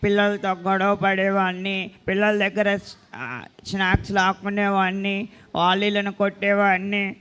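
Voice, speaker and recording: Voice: medium pitch, completely flat delivery, normal speed, fairly bright tone, clean tone, lightly acted. A performer voicing an eager, insistent little reformed troublemaker boy puppet in a children's church show. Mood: confessing. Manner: eager, insistent, calling out to elder sister.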